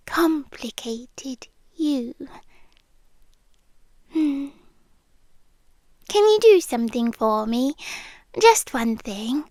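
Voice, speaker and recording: A British woman playing a possessive, tender demi-human yandere girlfriend in an ASMR roleplay, softly and breathily, often dropping to a whisper. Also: high voice, no echo, clean studio sound, full character voice. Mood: hushed, possessive. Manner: slow, deliberate, pausing between short phrases. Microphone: close, dry microphone.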